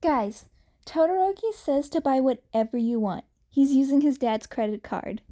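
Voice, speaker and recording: A bubbly teenage girl, voiced by a woman in an audiobook story. She is high-pitched and animated, friendly and full of cheer. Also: moderate pace. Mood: cheerful. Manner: calling out, cheering, enthusiastic. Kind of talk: fan fiction audiobook character voice.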